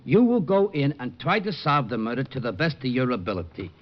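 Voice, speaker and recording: An American man acting a slow-witted, plodding police sergeant in an old radio drama. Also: medium pitch, big pitch swings, lightly acted. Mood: officious. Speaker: actor playing a police sergeant. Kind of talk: 1940s-50s radio detective drama acting. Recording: muffled, noisy old radio recording.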